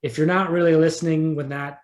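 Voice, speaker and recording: A man speaking as an interview guest, chatting casually and thinking aloud in halting, repeated phrases. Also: on the high side, fairly even intonation. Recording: echoey, roomy sound.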